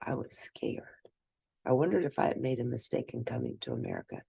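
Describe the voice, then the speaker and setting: A woman reading a scripted first-person story aloud, expressive and deliberate, with a husky, gravelly voice. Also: extremely low pitch. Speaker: read-aloud narrator.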